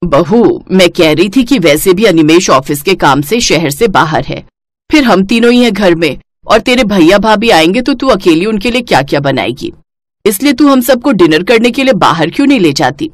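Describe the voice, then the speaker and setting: A woman's voice: medium pitch, expressive, moderate pace, no room sound, clean studio sound, natural voice. A woman voicing a nagging, easily embarrassed middle-aged mother-in-law in a cartoon family story. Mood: coaxing. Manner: nagging, chiding.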